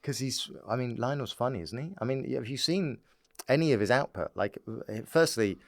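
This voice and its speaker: A man's voice: medium pitch, lively intonation, normal speed, dry recording, studio quality. A man telling comic stories as a guest in a casual podcast chat, laughing as he talks. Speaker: podcast guest, stand-up comedian.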